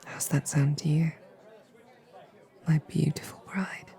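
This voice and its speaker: A woman playing a lovestruck royal knight in a romantic fantasy audio roleplay, sweetly awkward, halting and playfully snarky. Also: sits low, fairly even intonation, creaky, warm tone, in a whisper, some chatter behind, full character voice. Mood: playful, teasing. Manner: halting, flustered, rambling.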